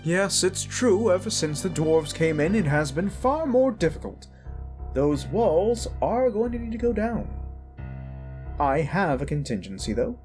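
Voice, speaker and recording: A man voicing a scheming necromancer lich in a fantasy audio drama, with a theatrical, mock-sweet, drawn-out delivery. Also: high voice, very animated, darkish tone, soft background music, voice pushed up. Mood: gleeful scheming. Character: gleeful scheming male necromancer lich villain.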